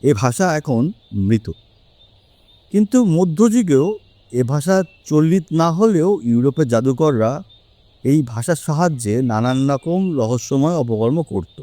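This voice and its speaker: Man acting solemn detective, animated delivery. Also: mid-range voice, no echo, lightly acted. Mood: explaining, solemn. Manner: deliberate, theatrical, explanatory. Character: learned male detective solemnly expounding an occult mystery. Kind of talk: Bengali audio story dramatic acting.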